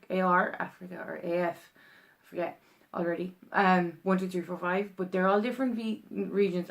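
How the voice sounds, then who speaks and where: A woman's voice: fairly low pitch, creaky. A young Irish woman vlogging in a rambling, chatty way, full of ums and restarts as she explains a process. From Ireland. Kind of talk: casual explainer vlog.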